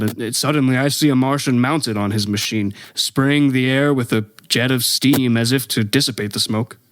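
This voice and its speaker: An actor playing an exhausted, haunted professor who survived an invasion, delivering a halting, brooding radio play monologue. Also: male voice, mid-range voice, no echo, lightly acted. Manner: halting, brooding, stammering over words.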